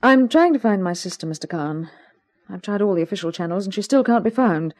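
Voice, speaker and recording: A woman's voice: medium pitch, animated, brisk, darkish tone, close to an everyday voice. An actress playing an anxious, well-bred Englishwoman in a 1950s radio mystery, speaking politely and earnestly with some hesitancy. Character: anxious, well-bred Englishwoman, glamorous client seeking help.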